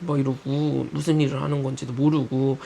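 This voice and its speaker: A woman's voice: rumbling low, little pitch movement, a little fast, gravelly, mellow, husky. A woman in her twenties chattily and candidly telling stories from her own childhood, with quoted asides. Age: 20s. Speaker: YouTuber. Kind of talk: casual storytelling about her own life.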